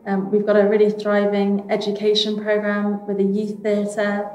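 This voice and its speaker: A woman's voice: medium pitch, completely flat delivery, moderate pace, darkish tone. A woman with a British accent narrating an audio tour as a theatre arts manager and guide. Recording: echoey room.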